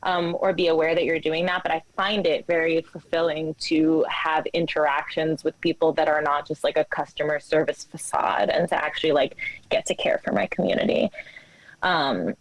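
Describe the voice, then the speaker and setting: A woman in her late twenties talking candidly and haltingly as a guest in a casual interview, with many filler words. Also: sits low, fairly even intonation, no room sound, low-quality recording. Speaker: interview guest, coffee shop manager. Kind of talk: casual conversational interview talk.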